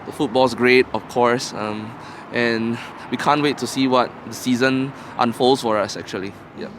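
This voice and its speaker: A man's voice: mid-range voice, steady tone, normal speed, noisy background. A man from Singapore, interviewed outdoors about being a football fan, talking in a chatty, slightly hesitant way. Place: outdoors on a city street.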